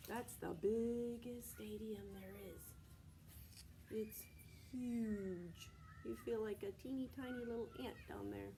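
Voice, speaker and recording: A woman's voice: on the high side, expressive, takes it slowly, warm tone, slight background noise. An American woman, a preschool teacher from Texas, reads aloud to young children in simple phrases, stopping to explain and ask questions. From Texas, United States.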